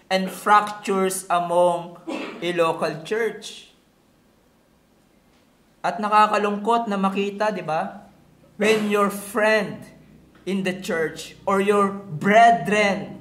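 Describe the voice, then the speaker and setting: Bright-voiced Filipino preacher in an echoey room. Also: medium pitch. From Philippines. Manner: didactic, mixing two languages, with rhetorical tag questions. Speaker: pastor preaching. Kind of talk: sermon.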